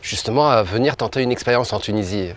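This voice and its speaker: A man's voice: lowish voice, fast, a little hiss or hum. A man hosting an interview, asking polite, hesitant questions with fillers. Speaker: interview host.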